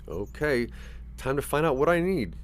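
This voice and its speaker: A man's voice: on the high side, big pitch swings, moderate pace, slight background noise, voice pushed up. Man acting a weary, puzzled lone inventor. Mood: resolved, questioning. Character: weary, puzzled reclusive inventor talking to himself.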